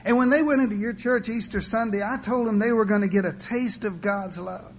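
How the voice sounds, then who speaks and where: A saintly, poor mother voiced by a male storyteller. The speech is soft, earnest and deliberate. There is a quiet firmness under the gentleness, with clear background noise behind it. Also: sits high, pitched above the performer's own voice.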